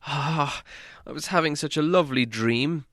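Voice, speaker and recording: Guy doing a peevish little storybook hobbit, muttery and put-upon, kinda sharp bright voice, dry sound. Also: fairly high pitch, normal speed, dry recording, pitched above the performer's own voice, close to an everyday voice. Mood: grumpy, wistful. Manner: muttering, grumbly. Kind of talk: storybook character voice.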